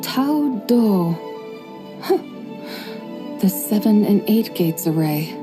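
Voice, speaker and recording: An actress voicing a proud ancient horse spirit who is a goddess of farming in a video game, speaking in a lofty, formal, scolding way. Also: female voice, medium pitch, expressive, slow pace. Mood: musing. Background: game soundtrack music.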